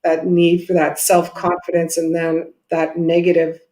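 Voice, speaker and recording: A woman's voice: fairly low pitch, monotone, unhurried, dark tone. A woman giving a spiritual reading and advice over a video call, speaking in an encouraging, reassuring manner. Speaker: guest intuitive medium giving a reading. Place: indoor room, video call. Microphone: video call microphone. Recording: roomy echoing video call.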